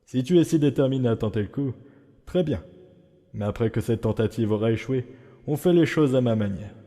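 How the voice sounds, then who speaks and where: A man voicing a weary, dry, guarded skeleton in a comic dub, speaking in a laconic, solemn and measured way. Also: medium pitch, mellow, close to an everyday voice. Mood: wary, resolute. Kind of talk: fan comic dub acting. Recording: long hall reverb, music underneath.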